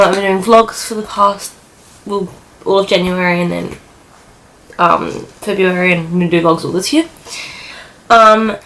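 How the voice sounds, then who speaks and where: A woman's voice: medium pitch, fairly bright tone. A young woman from New Zealand and Australia chatting casually to her vlog viewers, rambling and often correcting herself with plenty of filler "like". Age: young adult. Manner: rambling, frequent "like" and self-corrections. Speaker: vlogger. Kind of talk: casual sit-down vlog chat.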